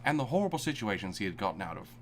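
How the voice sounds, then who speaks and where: A man's voice: lowish voice, big pitch swings, racing, a little hiss or hum. A man narrating a fan-fiction story aloud for laughs, often breaking into giggles while reading.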